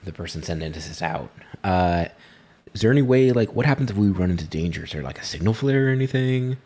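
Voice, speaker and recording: A man's voice: deep voice, expressive, a little fast, a little mellow. A man taking part in a tabletop game as a player, speaking casually and tentatively. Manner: hesitant, tentative.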